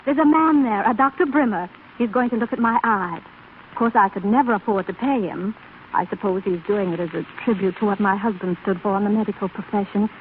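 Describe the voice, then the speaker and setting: An actress playing a gentle blind woman, brave yet yearning and despairing, in a 1930s radio drama. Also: mid-range voice, brisk, close to an everyday voice. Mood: bright, excited. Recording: scratchy, phone-like 1930s radio broadcast recording.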